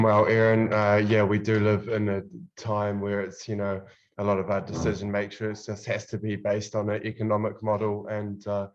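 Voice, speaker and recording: Summit host guy, low monotone voice, lots of uhs, bit lo-fi. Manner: flat, halting, many fillers. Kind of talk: thanking a speaker.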